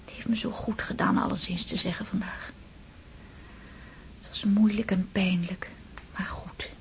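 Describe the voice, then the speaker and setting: An actress playing a young woman confiding in hushed, half-whispered tones in a radio play. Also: mid-range voice, steady tone, unhurried, vocal fry, poor audio. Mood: tender. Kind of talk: radio drama acting.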